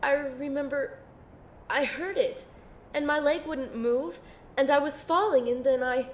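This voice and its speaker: A woman playing a wounded, frightened young woman in an amateur audio drama, speaking haltingly, weary and vulnerable. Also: sits high. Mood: confused, shaken. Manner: halting, hesitant delivery. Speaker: voice actor playing narrator and lead character.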